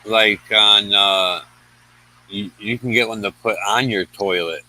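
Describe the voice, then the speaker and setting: A man's voice: on the low side, fairly even intonation, a little slow, pushed and bright, poor audio. A man joining a livestream as a guest, chatting casually in a blunt, buddy-like way. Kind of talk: casual chat.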